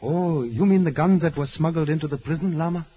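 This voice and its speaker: A man's voice: a little high, a little fast, warm tone, breathy edge. A man playing a devoted, deferential assistant who speaks formally in a 1940s radio drama. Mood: curious, deferential. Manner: formal, respectful. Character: deferential, earnest male assistant and narrator, devoted follower. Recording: muffled old radio recording.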